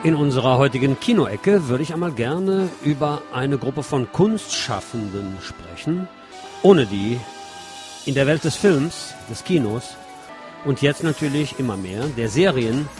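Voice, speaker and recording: A man's voice: medium pitch, expressive, a little slow, music in the background. A man presenting a radio magazine as host, reading scripted narration with personal asides.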